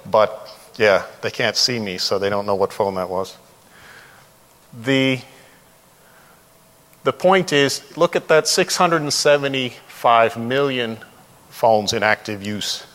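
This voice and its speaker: A man's voice: mid-range voice, a bit bright. Man presenting in a reverberant hall. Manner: conversational, candid, opinionated. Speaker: conference speaker, developer trainer and consultant. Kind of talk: informal conference presentation. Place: large indoor presentation room.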